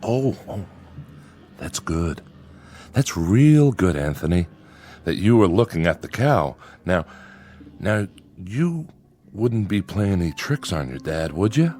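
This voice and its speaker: A man's voice: low voice, big pitch swings, takes it slowly, pauses often, dark tone, full character voice. A man playing a nervous farmer father with an American accent in a radio drama, folksy, hesitant and far too agreeable. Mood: fearful placating.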